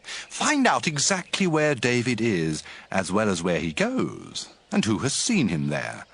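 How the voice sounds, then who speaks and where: A man playing a scheming, obsessive king with a husky voice, giving orders in an audio Bible drama. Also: on the low side, wildly swooping pitch, measured pace, fairly bright tone, exaggerated voice.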